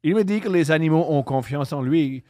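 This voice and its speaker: A man's voice: a little high, expressive, fairly quick, no room sound, studio quality. A man, joking and reading aloud in a casual podcast chat. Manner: jokey, loud interjections.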